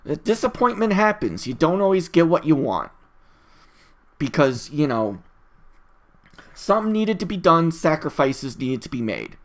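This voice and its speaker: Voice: medium pitch, sing-song, measured pace, pauses often. A person recording a casual solo audio log, rambling in an opinionated, exasperated and emphatic way with frequent tangents and swearing. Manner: rambling, easily distracted, opinionated.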